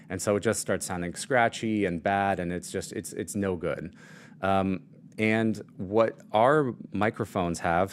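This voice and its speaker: Low-voiced man demoing mic gear. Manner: casual, explanatory, many fillers. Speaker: product demo presenter. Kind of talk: live product demo and Q&A. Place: at a desk. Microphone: studio mic on shock mount and arm.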